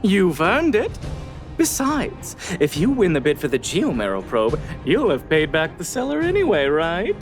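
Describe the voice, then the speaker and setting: A woman's voice: lowish voice, huge pitch swings, unhurried, music in the background. A woman voicing a polite, gracious auction house attendant in a video game, formal and courteous. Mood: amused. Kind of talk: animated video game voice acting.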